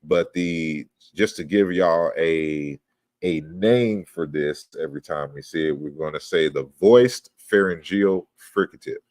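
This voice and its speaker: A man with a very deep voice gives an online lecture, walking through slides. He explains things step by step and keeps checking in with a quick "right?". The sound is dry and close, with no room echo. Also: darkish tone. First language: not Yoruba. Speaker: lecturer on language history.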